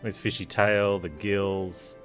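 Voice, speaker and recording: A man's voice: sits low, level tone, slow pace. A man doing casual live gameplay commentary as a streamer, with joking, wry asides. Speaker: game streamer. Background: game music.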